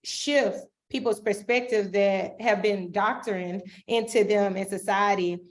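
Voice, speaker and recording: A woman's voice: medium pitch, fairly even intonation, a little slow. A woman doctoral student giving emphatic panel remarks, often tagging points with "right?". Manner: emphatic, rhetorical, repeats "right?".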